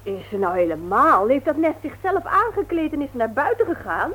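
A woman's voice: high voice, sing-song, fairly quick, a bit bright. An actress with a Dutch accent playing a busy, exasperated wife and mother in a domestic radio drama. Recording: thin phone-like old radio recording.

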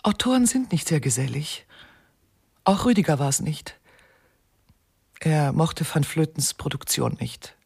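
A woman playing a detached, weary widow giving testimony in a German radio crime comedy, halting and hesitant in her delivery. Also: very animated, darkish tone, no room sound, clean studio sound, full character voice. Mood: detached, flat. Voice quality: husky, creaky, low for a woman. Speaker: witness being questioned.

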